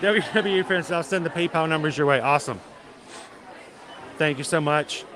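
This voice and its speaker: A man's voice: on the high side, moderate pace, crowd noise. Chatty man streaming from a crowded park. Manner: chatty, joking, stumbles and repeats words. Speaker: livestream host. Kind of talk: casual live-stream chat with viewers. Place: outdoor theme park among crowds.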